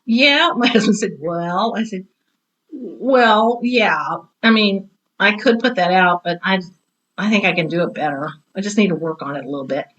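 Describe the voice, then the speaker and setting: A woman in her sixties with a bright, crisp-edged voice at a medium pitch. She talks casually, as on a podcast, and animatedly voices the little quotes in her stories. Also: normal speed, dull audio. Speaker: retired teacher and author talking on a podcast. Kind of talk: casual conversational podcast chat.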